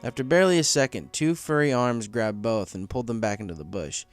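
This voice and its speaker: A man's voice: mid-range voice, fairly quick, soft background music. A man reading a story aloud as scripted narration. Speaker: story reader.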